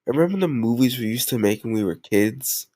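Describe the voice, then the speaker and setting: A tough gang leader played by a male actor shows his soft side as a doting boyfriend in an intimate audio roleplay. His mid-pitched voice is close and dry, full of teasing, mock complaints and affectionate pet names. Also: lively intonation, a little fast, no echo, close to an everyday voice. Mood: playful banter.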